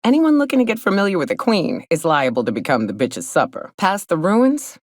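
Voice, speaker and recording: Casting: a gruff, hard-drinking frontier huntress for a game. Low-pitched, folksy and sardonic, with swooping, animated delivery. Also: female voice, fairly quick, no room sound, studio quality. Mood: blunt, skeptical. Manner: folksy, blunt, sardonic drawl. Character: hard-drinking, gruff, wry frontier woman guide and hunter. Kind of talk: video game character dialogue.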